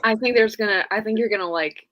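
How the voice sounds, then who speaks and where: A woman with a husky voice chatting casually and emotively as a teasing co-host on a book discussion live stream. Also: mid-range voice, fast, fairly bright tone, highs cut off. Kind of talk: casual chat.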